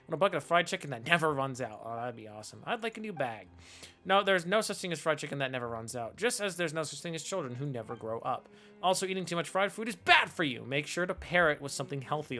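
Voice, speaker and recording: A young male game streamer with a slightly high, bright and forceful voice. He talks casually in slang, jokey and reactive, with faint music behind him. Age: 20s. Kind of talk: casual live game commentary.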